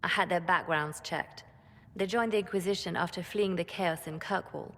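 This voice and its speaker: An actress playing a cool, composed spymaster in a fantasy game, matter-of-fact and controlled. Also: female voice, lowish voice, fairly even intonation, moderate pace, fairly bright tone. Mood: grave, controlled. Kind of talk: dramatic fantasy video game acting. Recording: long hall reverb.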